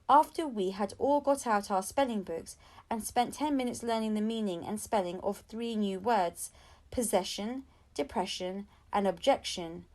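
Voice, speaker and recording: A woman's voice: a little high, darkish tone. A woman reading a children's story aloud as an audiobook narrator. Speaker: audiobook reader.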